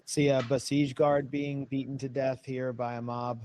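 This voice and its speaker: A man's voice: medium pitch, flat delivery, a little slow, dark tone. A man talking unscripted as the interviewer.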